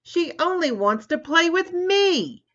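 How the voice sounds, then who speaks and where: A woman's voice: on the high side, very animated, takes it slowly, lo-fi sound, pitched above the performer's own voice, full character voice. A woman doing an angry little boy turtle. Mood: shrieking angry. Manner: whiny, shouting. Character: hot-tempered young boy turtle.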